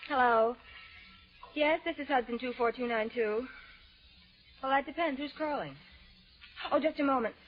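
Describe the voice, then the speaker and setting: Actress as a high-voiced wisecracking 1940s radio dame. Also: female voice, normal speed, pauses often, faint music behind, close to an everyday voice. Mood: casual. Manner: sardonic, teasing. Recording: dull 1940s radio broadcast.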